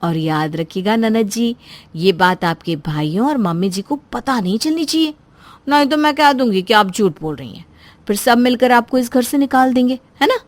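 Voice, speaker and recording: A woman's voice: fairly high pitch, expressive, normal speed, no room sound, voice pushed up, close to an everyday voice. A woman voicing a sly young sister-in-law in a moral story, coaxing and falsely sweet as she manipulates. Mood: veiled threat.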